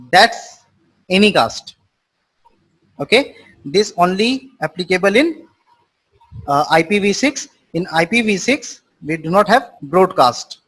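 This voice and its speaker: A man's voice: high-pitched, animated, takes it slowly, fairly bright tone, slightly rough. A man teaching a live online class, explaining terms with repeated simple definitions and quizzing his students. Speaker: networking instructor.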